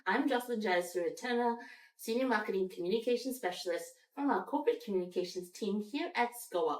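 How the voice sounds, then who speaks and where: A woman originally from Malaysia, guest hosting a company podcast panel with a measured, scripted welcome. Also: mid-range voice, echoey room, rough recording. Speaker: guest host.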